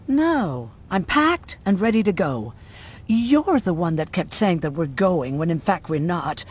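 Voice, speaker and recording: An actress playing a gruff, sardonic, world-weary woman medic who survived a prison camp, in a dramatic video game style. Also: fairly low pitch, very animated, measured pace, heavily acted. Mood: irritated.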